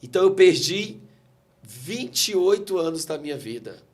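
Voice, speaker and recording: Man in his forties, guest pastor, fervent preacherly delivery, voice sits a little high for a man. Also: animated, normal speed. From Brazil. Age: 40s. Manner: fervent, preacherly, quotes scripture. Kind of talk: emotional testimony in a talk interview.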